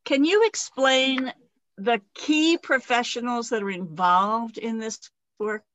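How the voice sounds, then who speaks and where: Woman, interview host, upbeat, laughs easily, somewhat high voice, dry recording. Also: slow, a bit bright, no echo, low-quality recording. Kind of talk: hosting an interview.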